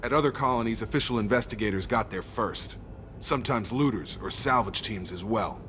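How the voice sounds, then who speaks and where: An actor playing a loyal, no-nonsense soldier in a video game, steady and straightforward. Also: male voice, brisk, close to an everyday voice. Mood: steady, earnest. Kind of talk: dramatic video game dialogue. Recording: loud vehicle rumble behind voice.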